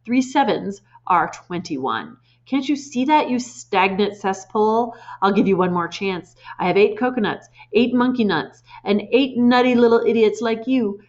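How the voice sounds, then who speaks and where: A woman voicing a bullying, furious headmistress who shouts and snaps insults, in a storybook reading. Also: medium pitch, a little fast, hardly any pauses, dull audio, natural voice.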